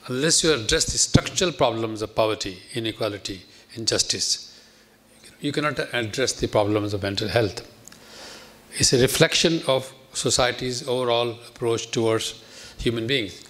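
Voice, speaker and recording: A man's voice: medium pitch, normal speed, a bit bright. An older man with a Pakistani accent, speaking as a panelist in a lecture hall, listing his points one by one with frequent restarts. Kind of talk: panel talk. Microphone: podium microphone.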